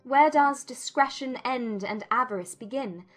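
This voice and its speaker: A woman voicing a witty, defiant young gentlewoman in a dramatized classic novel, speaking in arch, formal, exclamatory phrases. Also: sits high, unhurried, soft background music, pitched above the performer's own voice. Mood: arch, challenging.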